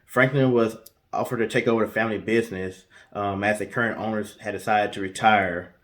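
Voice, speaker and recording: Man, fairly low pitch, casual storyteller, halting delivery, show co-host. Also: steady tone, fairly bright tone. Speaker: co-host telling stories in a guessing-game show.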